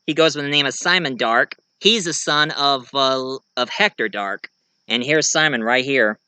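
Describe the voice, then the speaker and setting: A man giving a casual show-and-tell of his own fiction, rambling and halting mid-sentence to correct himself. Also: medium pitch, bright, forceful tone, clean tone, no room sound. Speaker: amateur writer and online video creator.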